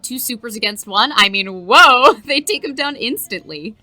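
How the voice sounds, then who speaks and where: A woman playing an eager, cocky high school student reporter in an audio drama, excitable and self-assured. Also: normal speed. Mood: eager, confident. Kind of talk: conversational audio drama acting.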